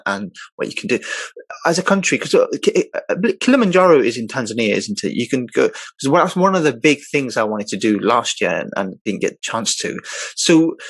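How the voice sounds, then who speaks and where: A man's voice: medium pitch, fairly bright tone, no echo. A man hosting an interview, asking long, wordy questions with hesitations. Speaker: interviewer, host.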